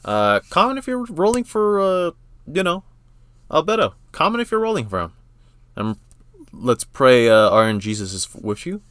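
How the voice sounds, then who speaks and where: A young man giving casual, excitable gamer reaction commentary, slangy and exclamatory, often repeating himself. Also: on the high side, moderate pace, clear, resonant tone, no echo. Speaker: reaction video host.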